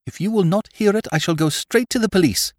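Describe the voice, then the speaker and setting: Man playing an anguished, defiant young noblewoman, pitched up. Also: brisk, a little mellow, no room sound, studio quality, natural voice. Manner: grave, earnest, formal phrasing. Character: young aristocratic woman, anguished, defiant, stubbornly insistent.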